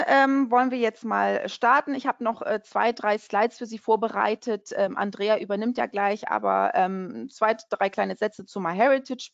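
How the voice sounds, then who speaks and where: A woman hosting an online webinar, friendly and chatty, often pausing on fillers and restarting her sentences. Her mid-range voice comes through a slightly dull web-call line. The sound is dry and close, with no room echo. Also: medium pitch, creaky. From Portugal. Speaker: webinar host, company country manager. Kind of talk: webinar introduction over slides.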